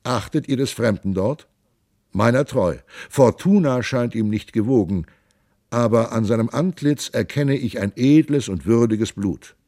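A man's voice: medium pitch, expressive, unhurried, warm tone, no room sound, clean studio sound, close to an everyday voice. A man voicing a noble, hearty giant prince in an audiobook reading, with stately, emphatic old-fashioned speech. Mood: hearty, commanding.

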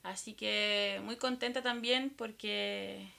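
A woman's voice: medium pitch, fairly even intonation, slow pace, vocal fry, sharp tone. A woman with a Chilean accent, chatting casually as co-host of a radio show. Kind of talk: casual chat.

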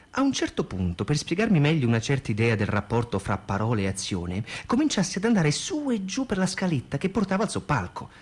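Animated, husky man reading on radio. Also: fairly high pitch. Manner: expressive, theatrical. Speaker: radio reader. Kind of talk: dramatic reading of a letter.

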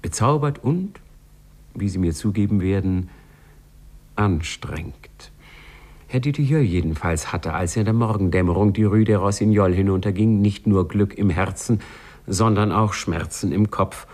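An actor as a wry, knowing storyteller, narrating a 1950s German radio play in a measured way. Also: male voice, lowish voice, darkish tone, close to an everyday voice. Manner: wry, measured, addresses listeners. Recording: 1950s radio recording, faint music behind.

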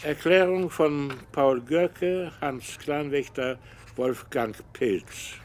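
A man's voice: fairly high pitch, takes it slowly, a little hiss or hum. An actor playing a brusque German engineering professor who dictates a statement to his secretary. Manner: curt, dictating.

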